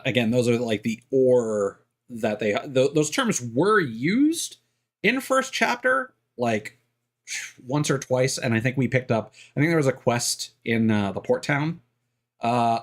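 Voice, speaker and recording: Male streamer, unhurried, filler-heavy casual commentary at a desk mic. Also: medium pitch. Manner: rambling, many fillers.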